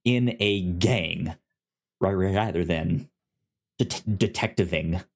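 A man co-hosting a casual podcast, agreeable and halting, with a pronounced stutter that repeats sounds and syllables. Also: deep voice. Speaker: podcast co-host.

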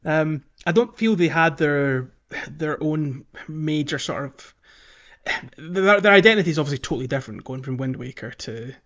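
A man's voice: on the high side, normal speed, a bit bright, dull audio. A man co-hosting a gaming podcast, chatting casually and thinking aloud with frequent ums. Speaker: podcast co-host.

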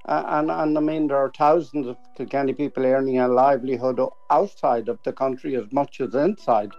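Bit muffled audio, older irish fella being interviewed, earnest n emphatic, repeats his words, average pitch. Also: steady tone, a little fast, soft background music. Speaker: interview guest. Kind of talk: earnest spoken tribute.

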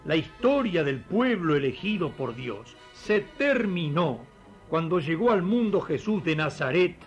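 Steadfast, lively-voiced Argentine potter. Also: male voice, a little high, unhurried, dull audio, faint music behind. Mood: firm, solemn. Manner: earnest, firm. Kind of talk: radio theatre acting.

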